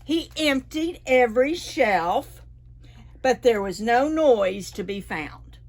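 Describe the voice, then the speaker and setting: A woman reading picture books aloud to preschoolers, with an animated manner and playful questions to the children. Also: a little high, slow. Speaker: librarian storyteller for preschoolers. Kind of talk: expressive picture-book read-aloud.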